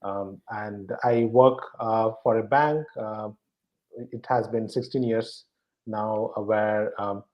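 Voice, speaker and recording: A man from eastern India, a native Bengali speaker with a Bengali Indian accent, answering casually in an interview at home in halting, repetitive phrases. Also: lowish voice, steady tone, highs cut off. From West Bengal, eastern India. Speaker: podcast guest, bank professional.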